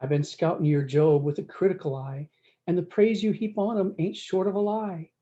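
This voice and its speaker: A woman's voice: fairly low pitch, fairly even intonation, moderate pace, dark tone, dull audio, lightly acted. A woman voicing a sly, scornful cowboy devil from a storybook, needling in folksy Western phrases. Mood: scornful, challenging.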